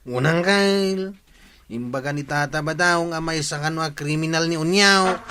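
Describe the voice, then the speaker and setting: A man's voice: on the high side, normal speed, very noisy, close to an everyday voice. A man playing a wheedling, troublesome villager in a comedy radio drama, with an Ilocano accent. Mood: apologetic.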